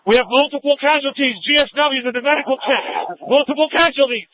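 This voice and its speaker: Very high-pitched male officer, tinny radio. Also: fairly quick, dry recording. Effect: police radio. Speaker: police officer. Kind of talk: urgent police radio reports. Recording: tinny dry police radio transmission.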